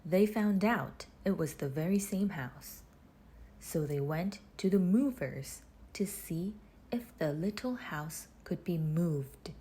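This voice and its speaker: A woman reading a children's storybook aloud in measured phrases with deliberate pauses, her husky voice turning breathy as phrases end. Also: lowish voice, lively intonation, warm tone. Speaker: storybook reader. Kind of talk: children's read-aloud narration.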